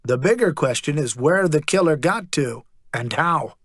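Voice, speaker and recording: A cool, guarded male agent, measured and quietly malicious, as a storybook narrator puts him on. The voice sits a little high and is recorded close and dry. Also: lively intonation, slightly rough, no echo.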